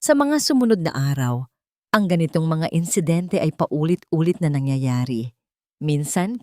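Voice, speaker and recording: A woman with a Filipino accent narrates a dramatic story from a script. Her voice sits a little low, and the sound is dry, with very little room around it. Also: mellow, no echo.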